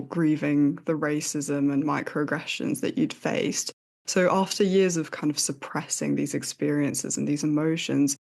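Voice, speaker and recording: A woman podcast host speaks with plenty of vocal fry and a slightly low pitch. She puts questions to a guest in a conversational way. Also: darkish tone.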